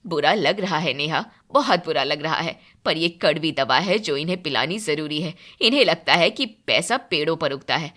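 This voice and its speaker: Woman playing deep-voiced, resolute mother-in-law. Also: animated, a bit bright. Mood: grim resolve. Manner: measured, firm. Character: stern, resolute middle-aged mother-in-law.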